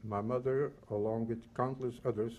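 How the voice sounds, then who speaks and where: A man in his eighties from the Netherlands and Belgium, reading a prepared personal testimony in measured, solemn short phrases. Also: mid-range voice, steady tone, darkish tone, lo-fi sound. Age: 80s. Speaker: Holocaust survivor giving testimony.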